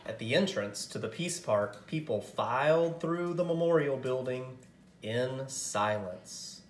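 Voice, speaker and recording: A man narrating a picture book aloud as a storyteller, with expressive, dramatic and deliberate phrasing. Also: mid-range voice, roomy sound, slight background noise.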